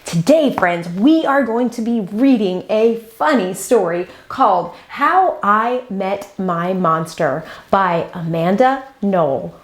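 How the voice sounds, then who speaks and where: Animated woman reading a children's storybook. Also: mid-range voice, slow, hardly any pauses. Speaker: host of a children's read-aloud channel. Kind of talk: expressive children's storybook read-aloud.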